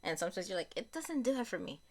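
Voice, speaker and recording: A young woman reviewing beauty products she has used up, in a chatty, casual way, her voice a little raspy from a cold and often correcting herself as she talks. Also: medium pitch, big pitch swings, fast talker. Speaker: beauty vlogger.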